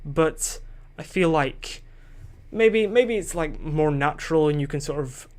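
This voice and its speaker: A man's voice: fairly high pitch, lively intonation, unhurried, darkish tone. A young man recording a solo podcast into a desk microphone, rambling and questioning himself, losing his train of thought and swearing casually.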